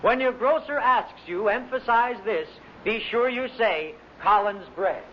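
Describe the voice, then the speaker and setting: A man's voice: high voice, unhurried. A man working as a radio announcer, reading in a vintage broadcast style. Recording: dull, faintly noisy vintage radio recording.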